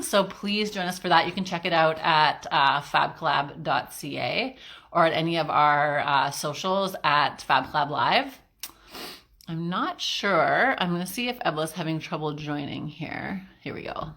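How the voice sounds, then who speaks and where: A woman's voice: on the low side, pushed and bright. Chatty woman hosting a video call interview. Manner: chatty, friendly, with frequent um and uh fillers. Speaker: interview host. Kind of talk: casual online interview.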